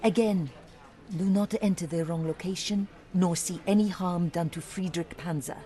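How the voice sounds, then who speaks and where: A woman's voice: fairly low pitch, steady tone, normal speed, dark tone, close to an everyday voice. An actress voicing a playful, sly young woman journalist in a detective game, teasing and theatrical. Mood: businesslike.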